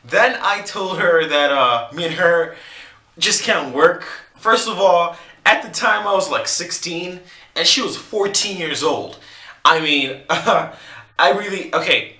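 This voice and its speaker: A man vlogger telling a comic story to camera in an animated, joking way, laughing between lines. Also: on the high side, echoey room.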